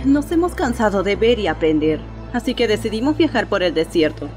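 A sardonic young female con artist in an adventure game fan dub, played by a woman. She keeps a dry, cool delivery at medium pitch, with a Latin American accent over soft music. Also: sing-song, brisk, natural voice. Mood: dry, teasing.

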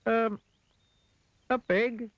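A man's voice: very high-pitched, fairly even intonation, very slow, dry recording, dull audio, pitched above the performer's own voice, exaggerated voice. A man voicing a simple, good-natured silly man in a children's story, with a teasing streak. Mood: puzzled.

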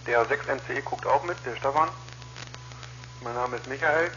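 A man's voice: medium pitch, normal speed, sharp tone. A man in a casual two-way chat as an amateur radio operator, heard over a radio link. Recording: lo-fi ham radio link with hiss.

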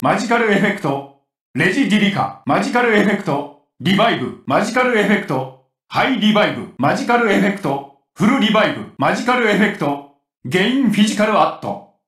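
Cocky anime game warrior dude, forceful, pretty high for a man, swingy pitch, roomy sound. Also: big pitch swings, a little fast, recorded from a distance, full character voice. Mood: focused, commanding. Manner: forceful, rough-spoken, cocky. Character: gruff, brash grown male warrior who loves cooking. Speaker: game voice actor. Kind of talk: Japanese anime video game battle voice clips.

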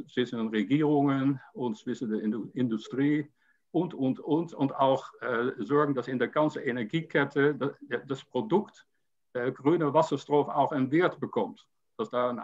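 Halting older man on a video call. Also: medium pitch. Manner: halting, many fillers and repeated words. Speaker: panel guest. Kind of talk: panel discussion over video call. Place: home room with painting. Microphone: video call webcam. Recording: dull lo-fi call audio.

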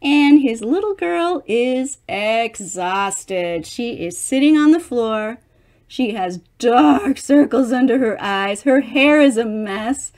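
A woman's voice: fairly high pitch, lively intonation, slow, darkish tone. A middle-aged woman reading a picture book aloud expressively, theatrically stretching words and adding chatty asides for young listeners. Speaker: children's storybook reader.

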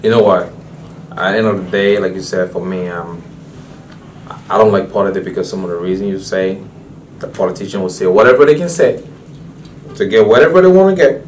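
A man's voice: low voice, brisk, long pauses, darkish tone, recorded from a distance, slight background noise, poor audio. A man from Liberia, a guest chatting casually and agreeably in a studio interview. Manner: agreeable, frequent short affirmations. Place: small TV studio.

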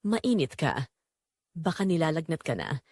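Calm, seductive neighbour lady, read by a woman. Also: deep voice, measured pace, dry recording, clean studio sound, full character voice. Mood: teasing. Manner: soft-spoken, seductive. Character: calm, bold, teasing mysterious older neighbour woman. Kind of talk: audio romance story dialogue.

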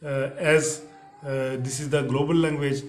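A man's voice: mid-range voice, little pitch movement, unhurried. Hesitant Urdu-speaking man, echoey room. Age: middle-aged. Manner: hesitant, frequent uh fillers and restarts. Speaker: English teacher. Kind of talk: spoken English lesson to camera. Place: indoors, plain teal backdrop. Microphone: camera in front, head and shoulders framing.

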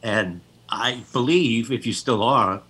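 A man's voice: medium pitch, takes it slowly, bright, forceful tone, husky. A middle-aged man with a New York accent, chatting casually as a caller on a video call. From New York City. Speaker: first-time caller. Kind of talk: casual chat. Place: dim room at home, indoors.

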